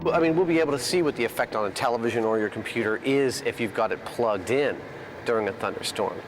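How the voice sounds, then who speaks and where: A man's voice: mid-range voice, quick pace, faint music behind, low-quality recording. Matter-of-fact man explaining an experiment. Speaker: co-host. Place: outdoor testing facility.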